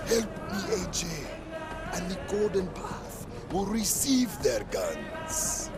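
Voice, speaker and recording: An eccentric, zealous soldier preacher for a game. He sermonizes emphatically and slowly in a high, animated voice, with music behind. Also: exaggerated voice. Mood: fervent. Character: zealous gunrunning preacher, eccentric war-hungry male soldier. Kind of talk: theatrical video game sermon.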